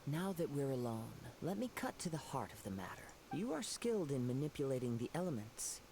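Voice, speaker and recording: An actor playing a calm, poetic young wandering samurai in a video game, speaking formally and courteously in a measured way. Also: male voice, medium pitch, background noise. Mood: musing, composed. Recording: game music behind the voice.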